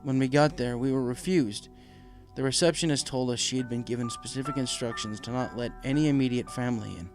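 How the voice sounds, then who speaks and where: A man voicing a humble, anguished pegasus father in a storybook, halting, earnest and deferential. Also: mid-range voice, a little mellow, soft background music, lightly acted. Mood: sorrowful. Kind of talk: storybook character voice.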